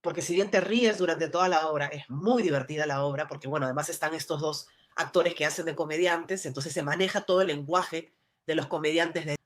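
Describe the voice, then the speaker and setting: A woman's voice: low-pitched, brisk. A woman from Lima with a Peruvian accent, an actress talking casually in an interview, full of restarts and fillers. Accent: Lima Peruvian Spanish. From Peru. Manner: rambling, many restarts and fillers. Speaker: actress guest.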